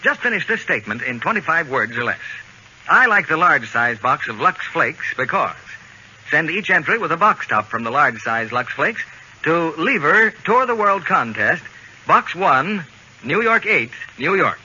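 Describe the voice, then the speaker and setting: A man's voice: fairly high pitch, sing-song, normal speed, husky. A man announcing on 1940s radio with a polished, emphatic, scripted delivery. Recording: dull old radio broadcast recording. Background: loud music.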